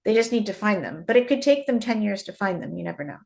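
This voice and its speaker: A woman's voice: medium pitch, lively intonation, fast talker, gravelly, a little mellow. A woman originally from Canada, a mining industry guest in an interview, explaining at length with frequent restarts and repeated words. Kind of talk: conversational interview.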